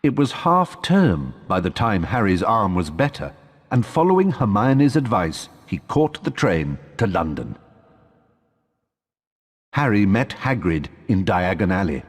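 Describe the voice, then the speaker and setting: A man's voice: fairly low pitch, very animated, a little slow, slightly rough, long reverb tail. A man narrating a scripted story for a video game as its storyteller.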